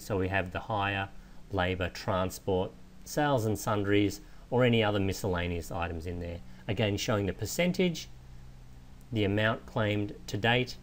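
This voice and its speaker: A man giving a methodical, explanatory software walkthrough in the manner of a trainer. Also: fairly low pitch. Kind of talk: instructional screen walkthrough narration. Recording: clear steady background noise.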